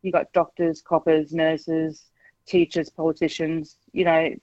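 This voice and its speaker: Woman in her 40s, radio caller, frank and hesitant, flat tone, muffled phone line. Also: deep voice, unhurried, dry recording. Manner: hesitant, frank, many ums. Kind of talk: candid phone-in chat.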